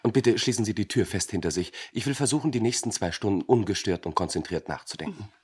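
Earnest, husky-voiced young clerk. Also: male voice, fairly low pitch, lively intonation, quick pace, a little mellow. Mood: brisk, methodical. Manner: formal, courteous, pedantic. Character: polite, earnest young male clerk, recent student. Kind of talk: German satirical radio drama acting.

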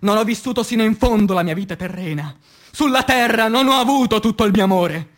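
A man playing an anguished, passionate revolutionary poet in a declamatory radio theatre recitation, with dramatic pauses and swings between hushed and loud delivery. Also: sits high. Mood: desperate pleading. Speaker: stage actor.

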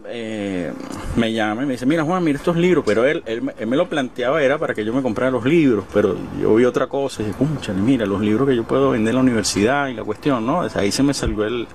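A man in his forties with a Venezuelan accent, casually recalling things in an interview, halting and repeating words. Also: medium pitch, darkish tone, a little hiss or hum. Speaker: bookseller being interviewed.

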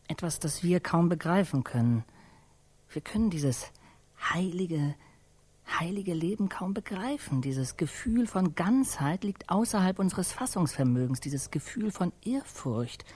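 An actor playing a solemn, reverent man in a radio drama, speaking in a measured way with hushed emphasis. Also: a little high, slightly rough. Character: solemn, reverent speaker musing on sacred life. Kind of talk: German radio drama dialogue.

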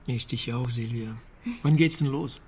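A man's voice: mid-range voice, a little slow, darkish tone, a little hiss or hum, natural voice. A man playing a weary, wry private detective in a radio drama, with a dry and sometimes hesitant manner. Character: weary, wry male private detective, narrating his case. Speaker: lead character and narrator. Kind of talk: radio drama acting with narration.

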